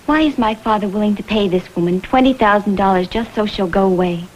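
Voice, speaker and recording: A woman's voice: medium pitch, fairly quick, a little hiss or hum, close to an everyday voice, low-quality recording. Anxious young daughter played by a woman, mid-range voice, earnest, direct delivery, vintage TV crime drama. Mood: troubled.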